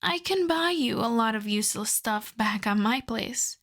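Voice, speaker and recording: A woman's voice: fairly high pitch, expressive, moderate pace, a bit bright. A woman playing a possessive, seductive female vampire in an ASMR roleplay, speaking intimately and coaxingly with a smug, commanding streak and slipping into whispers. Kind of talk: ASMR roleplay audio drama.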